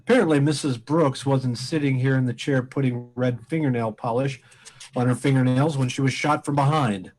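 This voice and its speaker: A man playing a calm, methodical police inspector in an old-time radio mystery, deliberate and probing. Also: medium pitch, natural voice.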